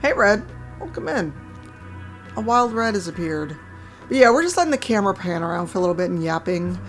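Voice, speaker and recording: A woman streaming a game, chatting casually with a hoarse, croaky voice and a wry, chatty manner. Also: mid-range voice, sing-song, a little fast, soft background music. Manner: chatty, wry, reads chat aloud. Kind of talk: casual live-stream chat while gaming.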